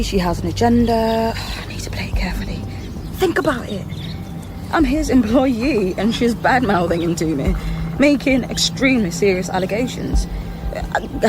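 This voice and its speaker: Actress as guarded female detective working undercover. Measured, inquisitive, natural radio-drama delivery, mid register over music. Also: medium pitch, natural voice. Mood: thoughtful, calculating. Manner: careful, questioning, measured. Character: guarded undercover policewoman posing as a nanny, probing.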